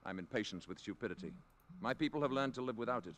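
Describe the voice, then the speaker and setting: An actor playing a calm, superior alien emissary in a 1950s radio drama, measured, formal and cool. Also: male voice, mid-range voice, close to an everyday voice. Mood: stern, impatient.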